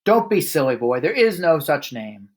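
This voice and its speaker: A man voicing a loud, bullying female headmistress who barks and sneers. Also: on the high side, a little slow. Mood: scornful shouting. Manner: barking, scornful.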